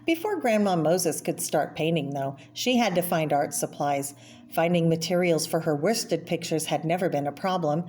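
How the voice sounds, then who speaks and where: Lower-voiced woman reading aloud, noisy recording. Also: animated, normal speed, a little mellow. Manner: explanatory, pointing out pictures and asking the listener questions. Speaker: read-aloud storyteller. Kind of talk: reading a children's picture book aloud, with side comments. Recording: clear background noise.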